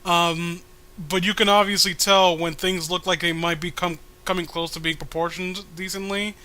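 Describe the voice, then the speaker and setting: A man with a sharp, forward voice set a little high talks in a loose, rambling way. He is a co-host giving mocking commentary, sarcastic and crude in his wording. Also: level tone, moderate pace, smooth, rough recording. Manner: sarcastic, rambling, foul-mouthed. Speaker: co-host reading a webcomic aloud with commentary.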